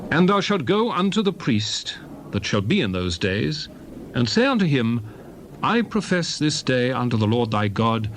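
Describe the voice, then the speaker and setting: A man narrating scripture as a dramatized reading, solemn, measured and declamatory. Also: medium pitch, slight background noise. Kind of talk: dramatized Bible reading in archaic English.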